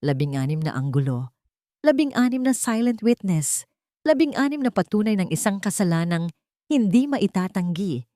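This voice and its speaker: A woman's voice: mid-range voice, sing-song, moderate pace, dark tone, dry recording, clean studio sound. A woman storyteller reading scripted, dramatic narration for an audio drama.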